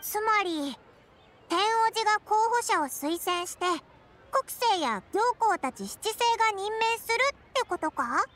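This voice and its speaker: A woman's voice: expressive, unhurried, fairly bright tone, a little hiss or hum, heavily acted. A woman voicing a small childlike fairy companion in a video game, cheeky and curious, with blunt boyish speech. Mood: curious, lively. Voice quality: squeaky, childlike. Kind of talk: Japanese video game voice acting.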